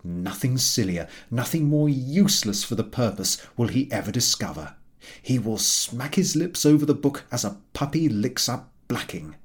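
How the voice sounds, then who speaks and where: A man's voice: mid-range voice, very animated, normal speed, natural voice. A man voicing a shrewd, scheming London publishing manager in a storybook, with formal, persuasive, confiding speech. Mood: conspiratorial scheming.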